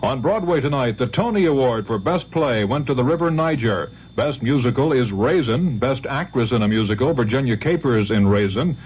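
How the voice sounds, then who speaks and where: A man's voice: mid-range voice, lively intonation, moderate pace, hardly any pauses, a little hiss or hum. A man with an American accent reading a scripted radio news bulletin. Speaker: radio newsreader. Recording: dull, faintly humming 1970s radio recording.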